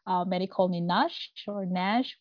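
A woman from Singapore with a Singaporean accent, talking casually as an interview guest, often halting to find her words. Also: fairly low pitch. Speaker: podcast guest, family trauma coach. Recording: roomy, slightly dull audio.

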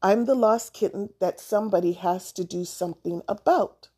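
A woman's voice: mid-range voice, moderate pace, dark tone, breathy edge, close to an everyday voice. A woman voicing a small talking cat in a children's storybook, whining and mewing in a needy, complaining way. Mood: pitiful. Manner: whining, crying.

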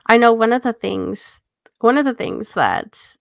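A woman's voice: on the high side, expressive, a little slow, vocal fry, no echo, studio quality. A woman co-hosting a podcast, talking in a casual, conversational style. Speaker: podcast co-host, editor. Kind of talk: casual chat.

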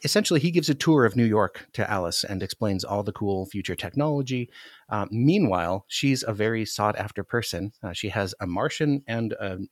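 An American man speaks in a fairly low voice with very little room around it. He sounds like a podcast guest in casual conversation, and the recording is dry and close. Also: expressive, a little fast, no echo. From United States, Alaska. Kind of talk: casual chat.

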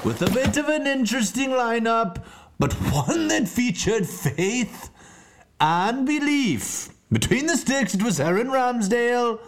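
A man playing a bombastic, high-swooping football show host. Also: full character voice. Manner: theatrical, bombastic, drawn-out announcing. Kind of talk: mock sports-news presenting, scripted.

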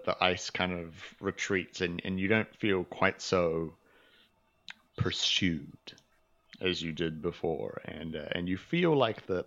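A man narrating as game master in a halting way, full of fillers and restarts. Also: sits low, a bit bright, dull audio.

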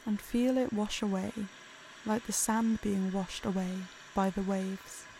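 A woman narrating a guided meditation in a calm, soothing, unhurried way. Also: medium pitch, slight background noise, poor audio.

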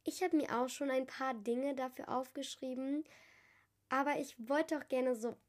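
A woman's voice: high-pitched, fairly even intonation, moderate pace. Teenage girl with a stuffy cold voice. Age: teens. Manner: chatty and earnest, addressing listeners warmly. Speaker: podcast host. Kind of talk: casual solo podcast talk.

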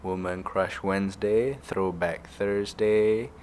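A man's voice: deep voice, steady tone, a little mellow, a little hiss or hum. A young man with a Malaysian accent co-hosting a podcast, joking around with wordplay and hesitating with fillers. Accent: Malaysian English. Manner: jokey wordplay, halting with fillers. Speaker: podcast co-host. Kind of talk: playful casual chat mixing English and Malay.

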